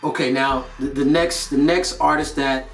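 A man's voice: mid-range voice, steady tone, moderate pace, gravelly, fairly bright tone. Echoey, emphatic American man talking motivation. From North Carolina, United States. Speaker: host of a motivational video series. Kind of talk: casual motivational talk to camera. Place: indoor studio with plain grey backdrop. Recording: roomy echo, distant mic, music behind.

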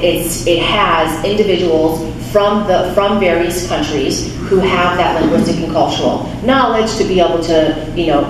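A woman in her thirties giving a casual talk at a lectern, with frequent restarts and fillers. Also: deep voice. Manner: chatty, many restarts and fillers. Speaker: guest speaker from a refugee resettlement nonprofit. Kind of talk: informal talk with audience questions. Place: small church chapel, speaking at a lectern. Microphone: handheld mic, recorded by a far camera. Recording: echoey chapel, distant camera, room noise.

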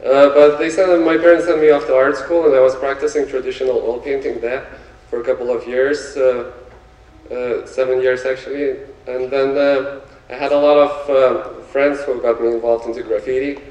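A man with a Lithuanian accent talks loosely from a theater stage, with plenty of ums between thoughts. His voice sits at an ordinary pitch and comes from some distance off the mic. The sound rings around a large, echoing hall. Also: normal speed, dull audio. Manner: relaxed, searching for words with many fillers. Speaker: guest artist. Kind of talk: stage talk with slides. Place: theater stage before an audience. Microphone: handheld mic, recorded from afar. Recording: echoey theater from far off.

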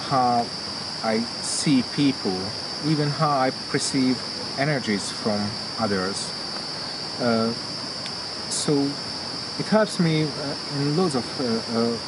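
A middle-aged man with a Hungarian accent speaks slowly and haltingly in English, full of fillers and restarts, his voice sitting at an ordinary male pitch. He is recorded outdoors in a garden, with a loud chorus of crickets and insects running under every word. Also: very noisy. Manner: hesitant, many filler sounds and restarts. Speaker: interviewee, yoga student. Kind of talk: testimonial interview. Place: outdoors in a garden among plants.

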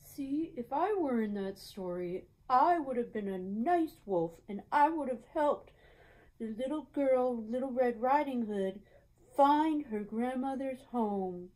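A woman voicing a kind, childlike wolf sidekick on a children's story show, earnest and gentle. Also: fairly high pitch, expressive, slow, poor audio.

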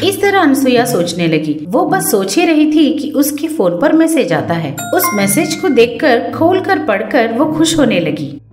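A woman storyteller reads scripted narration in a very high, animated voice. The recording is roomy, with music softly behind. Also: a little slow. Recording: roomy echo, music underneath.

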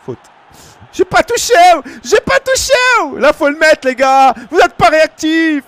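A man in his thirties from France commentates his own football game on a live stream, chatting casually with his viewers. His voice sits fairly high and swings widely, jumping to excited shouts at big plays. The sound is close and dry, recorded at a home desk. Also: moderate pace, no room sound. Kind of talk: live gaming commentary to chat. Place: small room at home.

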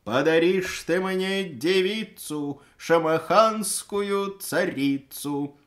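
A shrill, reedy old eunuch sage, put on by a man reading a storybook aloud. He presses his claim in a thin, strained voice, lifted far above the reader's own pitch and slow and drawn out. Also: fairly bright tone, exaggerated voice. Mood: insistent. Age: older. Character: old male eunuch sage, high and wheedling.

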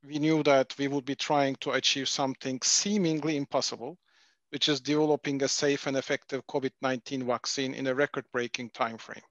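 A man from Turkey, a pharmaceutical executive, presenting formally at a webinar over a video call with frequent pauses. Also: medium pitch, a bit bright, no room sound. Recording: dull dry video-call audio.

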